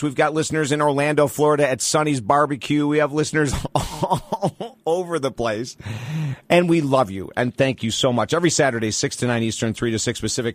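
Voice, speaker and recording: A man's voice: a little high, no room sound. A man hosting a live radio talk show from a studio, talking in an upbeat, enthusiastic way and repeating points for emphasis. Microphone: studio microphone.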